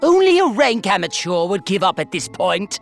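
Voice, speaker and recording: A woman's voice: medium pitch, big pitch swings, normal speed, gravelly. A woman playing an imperious, scheming alien tyrant in an anime video game, with haughty, grandiose, formal speech. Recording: music behind the voice.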